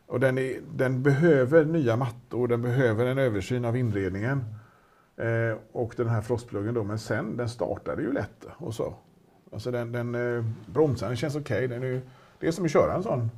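Rambling husky-voiced man. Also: medium pitch, darkish tone. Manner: chatty, rambling with restarts and fillers. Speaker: auction specialist presenting a car. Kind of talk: casual chat.

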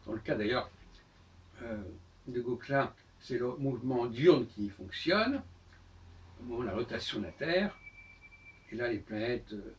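An older man giving a solo talk to camera in a book-lined room, explaining step by step with many pauses and restarts. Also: mid-range voice, expressive, recorded from a distance. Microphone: far camera on a tripod.

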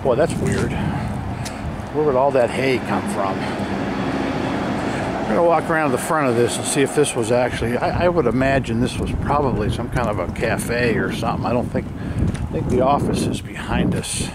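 A man of medium pitch narrates casually as he walks around outdoors with a handheld camera. His commentary is musing and observational, made up on the spot. Clear ambient noise sits behind his voice. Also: normal speed. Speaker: vlogger filming an exploration of an abandoned motel. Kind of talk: casual off-the-cuff walking narration. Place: outdoors, walking around a derelict motel lot. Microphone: handheld camera microphone, operator behind camera.

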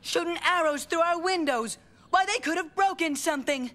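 A woman's voice: very high voice, moderate pace, fairly bright tone, soft background music. A woman voicing a smug, scheming rich little boy in a children's cartoon, with a snooty manner. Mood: smug, accusing. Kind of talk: animated children's cartoon acting.

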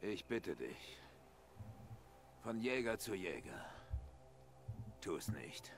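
A gravelly, proud hunter. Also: male voice, low voice, very animated, extremely slow, a little hiss or hum, full character voice.

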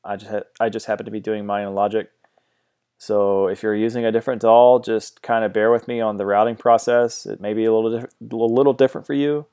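A man giving a casual software tutorial, hesitant and full of ums and restarts, recorded on a mono home mic. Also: fairly low pitch, little pitch movement, brisk, smooth. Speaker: tutorial host.

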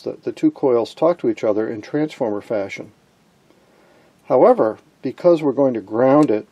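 Guy doing a casual electronics how-to, relaxed mellow raspy voice, explains stuff step by step. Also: medium pitch. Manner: informal, explanatory, posing questions then answering. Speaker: electronics hobbyist presenter. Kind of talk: hands-on tutorial explanation.

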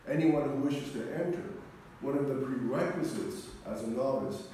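Middle-aged man, Orthodox priest, solemn sermon, mellow voice, measured pauses, very echoey church. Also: medium pitch. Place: large church interior, speaking from the front. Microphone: distant camera microphone. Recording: echoey church, distant mic, faint noise.

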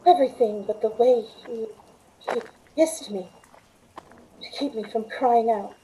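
A middle-aged woman playing a shaken waitress who recounts a terrifying ordeal in dramatic, halting radio-play narration. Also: fairly high pitch, warm tone, a little hiss or hum, lightly acted, low-quality recording. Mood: shaken, dazed. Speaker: lead actress in a radio play. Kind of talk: dramatic first-person radio acting. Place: room at home, on a video call.

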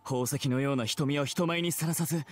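A man's voice: fairly high pitch, a little fast, slight background noise, natural voice. A man playing an elegant, teasing pirate captain in a game, polite, theatrical and self-assured. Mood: smug, elegant. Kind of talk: Japanese game character voice acting.

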